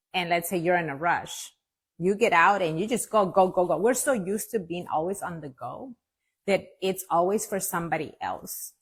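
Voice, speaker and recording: A woman host with a breathy, somewhat husky voice pitched on the low side. She talks reflectively, thinking aloud and filling pauses as she goes. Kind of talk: casual interview talk.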